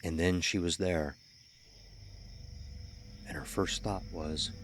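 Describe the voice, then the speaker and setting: A man narrating a scripted horror story in a measured, ominous, dramatic storytelling manner. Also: deep voice, steady tone, a little hiss or hum. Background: music under the voice.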